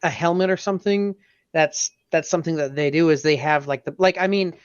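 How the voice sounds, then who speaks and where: A podcast co-host chatting casually in an informal, halting way, on a slightly muffled microphone. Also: mid-range voice, smooth, dry recording. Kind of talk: casual chat.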